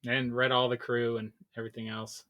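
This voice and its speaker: A man's voice: mid-range voice, flat delivery, a little fast, pushed and bright. A man co-hosting a podcast, talking in a rambling, enthusiastic way and swearing casually. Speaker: podcast co-host.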